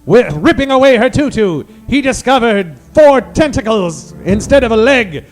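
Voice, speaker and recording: A man's voice: very high voice, lively intonation, moderate pace. A man narrating a comic old-time radio serial in a theatrical manner.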